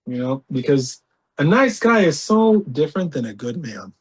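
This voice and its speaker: Animated man telling a candid story. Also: fairly high pitch, normal speed, husky. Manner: animated, laughs often, reenacts voices. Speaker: podcast guest.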